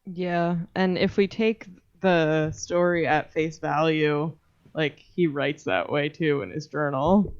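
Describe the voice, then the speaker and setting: A woman's voice: low-pitched, steady tone, takes it slowly, clean tone, muffled recording. A woman co-hosting a podcast in casual, joking discussion. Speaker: podcast co-host.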